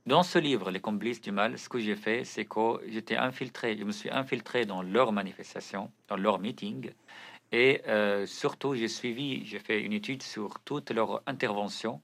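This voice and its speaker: A man's voice: lowish voice, moderate pace, gravelly, fairly bright tone. A man whose first language is Arabic, answering interview questions as an author, hesitant with frequent fillers and restarts.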